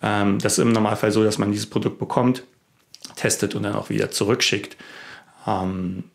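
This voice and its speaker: A man's voice: fairly low pitch, fairly even intonation, moderate pace, vocal fry. A man from Berlin, Germany, hosting a tech vlog and talking casually to the camera. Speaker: YouTube tech vlogger, host.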